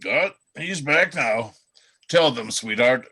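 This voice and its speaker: Casting: man as affectionate, jovial reindeer dad for a radio play, very animated intonation, folksy delivery. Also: mid-range voice, slow pace, husky, exaggerated voice. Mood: jovial, wry. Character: jovial, affectionate male reindeer, husband and father. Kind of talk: reader's theatre radio play acting.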